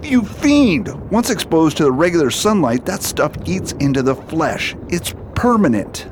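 A man's voice: a little high, sing-song, a little slow, noisy background. A man playing an earnest, indignant police toxicologist in a radio drama, explaining and reassuring.

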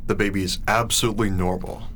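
An actor playing an anxious, analytical, argumentative husband with an earnest, questioning manner in a radio play. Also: male voice, fairly low pitch, steady tone, quick pace, a bit bright, a little hiss or hum, natural voice. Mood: guarded.

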